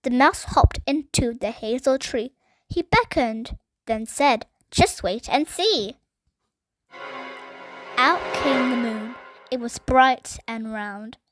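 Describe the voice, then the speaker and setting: Woman, children's storyteller, expressive read-aloud, high pitch, slow deliberate pace, dry close sound. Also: no room sound, faint music behind, poor audio.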